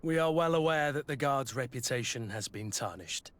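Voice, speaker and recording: A man's voice: mid-range voice, lively intonation, moderate pace, a bit bright, natural voice. A man acting a blunt, loyal mercenary soldier in a video game, plain-spoken and wry. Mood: earnest.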